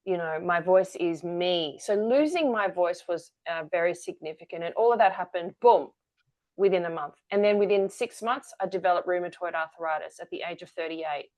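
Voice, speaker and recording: A woman's voice: lowish voice, normal speed, darkish tone. A woman, a guest telling her story candidly in an interview. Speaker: guest, energy-work founder. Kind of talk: conversational interview.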